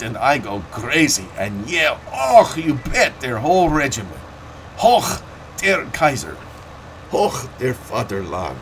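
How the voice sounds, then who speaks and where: Guy doing a talkative old german farmer, thick accent, loud and declaiming, slow. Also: mid-range voice, a little hiss or hum, heavily acted. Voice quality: broken English, exclaiming. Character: older German immigrant farmer man, proud and talkative.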